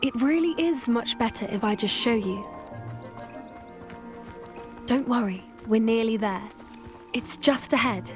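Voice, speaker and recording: A woman acts an earnest British teenage schoolgirl from a fantasy video game, fond of creatures and a little secretive. Her voice is gentle and on the high side. Clear game music plays behind her. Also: measured pace, long pauses, close to an everyday voice. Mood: coy. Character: earnest, secretive teenage schoolgirl who loves magical beasts.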